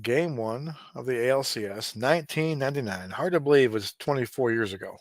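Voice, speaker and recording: A man in his late forties doing casual play-by-play of a simulated baseball game, relaxed and chatty with frequent asides. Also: mid-range voice, brisk, no echo, studio quality. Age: 40s. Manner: relaxed, chatty, given to asides and small restarts.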